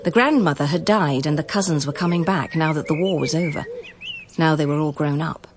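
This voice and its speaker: A woman's voice: sits low, a little fast, background noise. A woman with a British accent reading literary, scripted narration for a radio drama.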